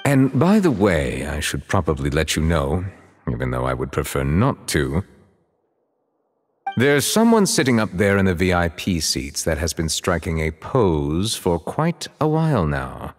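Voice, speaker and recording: Stately male game judge: low, formal, reserved, expressive intonation, reverberant. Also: faint music behind, exaggerated voice. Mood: measured, dry. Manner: courteous, measured, ornate formal wording. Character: dignified, formal, reserved male chief justice. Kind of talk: video game character acting.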